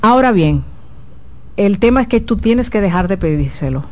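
A woman's voice: medium pitch, expressive, moderate pace. A woman giving frank, explanatory advice as a guest sex therapist on a talk show.

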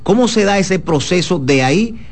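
A man interviewing a guest, asking hesitant, halting questions. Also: high-pitched, expressive.

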